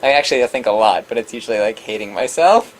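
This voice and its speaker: A man's voice: medium pitch, lively intonation, racing, soft background music, pitched below the performer's own voice, lightly acted. A man voicing an introspective, self-doubting young male gamer, reading visual novel dialogue aloud over a noisy recording. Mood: self-deprecating.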